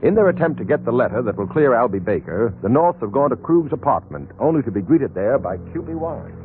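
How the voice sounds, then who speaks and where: A man's voice: mid-range voice, very animated, fast, a little hiss or hum. A man narrating a 1940s radio drama as its announcer, in scripted style. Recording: muffled narrowband 1940s radio recording.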